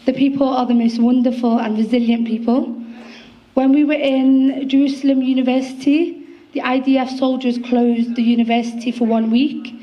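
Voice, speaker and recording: A woman's voice: fairly high pitch, warm tone, muffled recording. Earnest Liverpool woman in her twenties. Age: 20s. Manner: earnest, with fillers. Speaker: guest poet. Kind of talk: speaking to an event audience.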